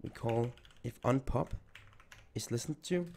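Man losing his voice, gravelly. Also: mid-range voice, unhurried, a little mellow, slight background noise. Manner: thinks aloud while typing code. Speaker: programming tutor. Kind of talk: screen-recorded coding tutorial.

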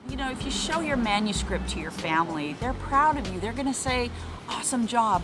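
A middle-aged woman talking casually in an interview, sharing her experience. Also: medium pitch. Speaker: author of a local history book, interviewee. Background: loud music.

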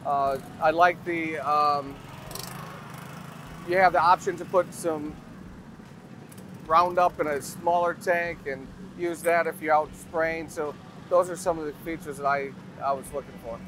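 A middle-aged man gives a casual testimonial in a fairly high voice. Outdoor noise is clearly heard around him. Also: steady tone, measured pace, a bit bright. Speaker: grounds manager. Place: outdoors at a trade expo. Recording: noisy outdoor expo ambience.

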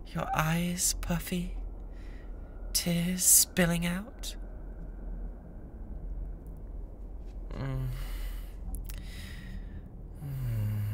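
An obsessive young British yandere classmate, played by a man in an ASMR roleplay. He speaks slowly and intimately, with theatrical menace and a bratty, unhinged streak. There is clear background noise in the recording. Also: fairly high pitch, a bit bright, heavily acted. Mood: creepy, intimate.

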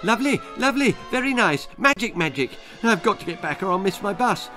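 A man's voice: sits high, lively intonation, a little fast, voice pushed up. A man reading a story, voicing a frightened boy who chatters nervously, over music. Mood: panicky babble. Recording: narration over loud music.

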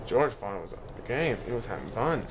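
A man reads a children's storybook aloud in an animated storytelling manner, now and then stumbling over a word. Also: mid-range voice, brisk, background noise. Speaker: storybook reader.

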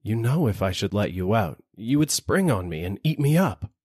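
A man voicing a gentle, kind holy man who pleads desperately for his life in a storybook reading. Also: fairly low pitch, sing-song, normal speed, breathy edge, dry recording, studio quality. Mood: pleading. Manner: pleading, imploring.

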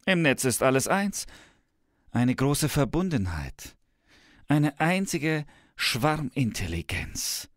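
Comic podcast part: smug tech-believer professor, male actor, emphatic and theatrical, animated pitch, dry studio recording. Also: slow, no room sound. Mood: smug, enthusiastic. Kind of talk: scripted comic dialogue. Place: radio studio. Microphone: studio microphone.

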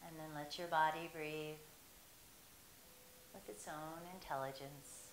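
A woman guiding a relaxation meditation, speaking in slow, soothing, drawn-out phrases with long pauses. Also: low voice, fairly even intonation. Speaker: meditation guide. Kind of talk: guided meditation. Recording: echoey room with faint hiss.

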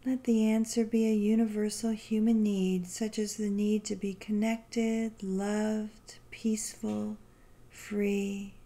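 A woman guiding a meditation in a gentle, soothing, unhurried way, leaving long pauses between phrases. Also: medium pitch, level tone. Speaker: meditation guide. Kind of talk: guided meditation narration.

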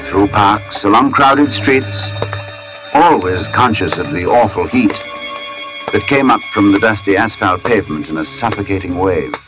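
Brooding gentleman narrator, low voice, expressive range, literary phrasing, vintage radio broadcast with music. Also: a little slow, low-quality recording. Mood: haunted, brooding. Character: brooding, polite gentleman narrator recalling an uncanny day. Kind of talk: 1940s radio drama narration.

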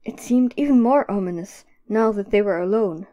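A woman's voice: medium pitch, moderate pace, highs cut off. A woman narrating a scripted audio drama as its storyteller.

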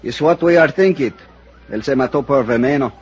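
A man acting an earnest Mexican police captain who speaks halting, accented English mixed with Spanish. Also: mid-range voice, a little mellow, breathy edge, natural voice. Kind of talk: 1950s radio acting. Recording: muffled old radio recording.

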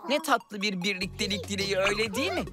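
A woman's voice: mid-range voice, expressive, quick pace, lightly acted. A woman voicing an eager, helpful magical guide in a children's cartoon dub, explaining things expressively. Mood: enchanted. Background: cartoon music.

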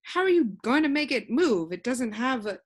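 A woman's voice: a little high, sing-song, moderate pace, husky, voice pushed up. A little storybook boy, voiced by a woman, earnest and easily worried. He sounds plaintive and questioning.

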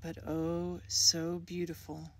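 A woman leading a guided meditation in a soft, breathy, soothing voice, speaking in short phrases with long, unhurried pauses. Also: fairly low pitch, completely flat delivery. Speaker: guided meditation leader, creativity coach. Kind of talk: guided meditation, gentle scripted narration.

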